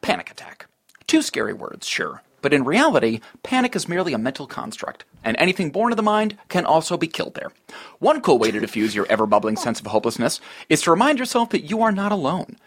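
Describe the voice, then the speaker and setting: A man's voice: a little high, big pitch swings, quick pace, a bit bright, full character voice. A man playing a deluded, disgraced therapist who presents himself as a polished TV self-help host in a comedy table read. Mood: earnest, presenterly. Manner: polished presenter delivery, pompous.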